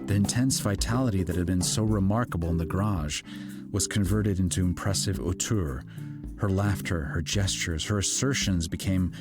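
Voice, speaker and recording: A man's voice: sits low, normal speed. A man reading literary fiction aloud as an audiobook narrator, in a scripted storytelling style. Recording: music behind the voice. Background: background music.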